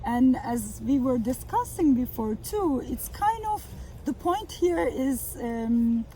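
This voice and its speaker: A middle-aged woman from Turkey speaks with a mellow, slightly high voice. She talks haltingly, filling gaps with "uh" and "like". Recorded outdoors in a garden with faint background hiss. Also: poor audio. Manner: hesitant, many fillers. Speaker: architecture professor. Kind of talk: outdoor conversation.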